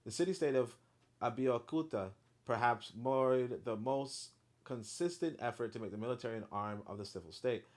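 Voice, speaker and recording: A man reading a history book aloud, then breaking off into animated, blunt commentary with profanity and lots of fillers. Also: medium pitch, moderate pace.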